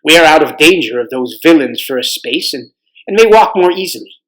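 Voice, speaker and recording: A man's voice: on the high side, animated, a little fast, fairly bright tone, poor audio. A man voicing a grave, courteous king from a storybook, speaking in a formal, archaic manner. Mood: relieved. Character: courteous, grave young king of a fantasy realm.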